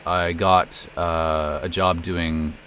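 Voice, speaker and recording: A man's voice: low-pitched, little pitch movement, extremely slow, a bit bright, slight background noise. A middle-aged American man chatting casually in an interview as a voice actor and narrator. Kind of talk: casual interview, telling career story.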